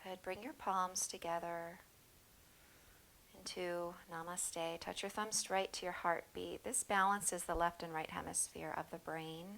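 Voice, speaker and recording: A woman yoga teacher guiding a breathing practice with slow, soothing, calm cues. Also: on the low side, creaky, slight background noise, poor audio. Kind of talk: guided yoga breathing instruction.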